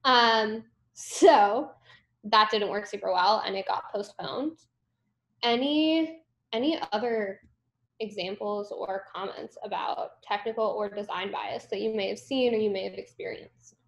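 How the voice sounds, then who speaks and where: A woman's voice: medium pitch, measured pace, vocal fry. A young woman leading a workshop discussion over a video call from home, upbeat and moving things along. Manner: upbeat, moves things along with "cool" and "great". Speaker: workshop instructor. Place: room at home. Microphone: video call on a laptop. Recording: echoey room.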